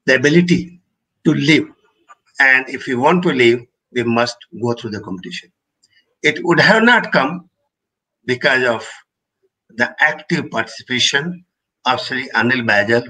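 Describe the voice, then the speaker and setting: An older man with an Indian accent and a slightly husky voice, giving an explanatory talk in measured, formal phrasing as a technical expert. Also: medium pitch, bright, forceful tone, poor audio. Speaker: radio engineering expert giving a presentation. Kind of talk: explanatory lecture with personal recollection.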